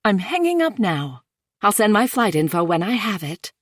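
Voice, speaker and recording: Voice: mid-range voice, big pitch swings, normal speed, no room sound, clean studio sound, voice pushed up. A narrator voicing an insistent new-age mother who will not take no for an answer. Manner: insistent, talks over others. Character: anxious new-age mother, older woman.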